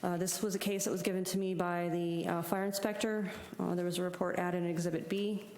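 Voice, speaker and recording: Woman code officer, low flat delivery, roomy hall. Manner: flat, formal, halting with fillers. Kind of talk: formal case report. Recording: echoey hall from council mic.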